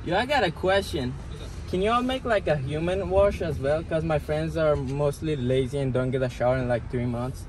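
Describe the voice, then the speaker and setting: Young man joking in a car, highish voice. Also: moderate pace, darkish tone, a little hiss or hum, low-quality recording. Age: young adult. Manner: slangy, teasing. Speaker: prankster at drive-thru windows. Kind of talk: casual joking chat. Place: inside a car at drive-thrus.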